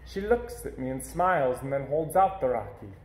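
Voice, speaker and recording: A man reading a children's picture book aloud in an expressive storytelling style. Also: mid-range voice, normal speed, reverberant, slight background noise, poor audio.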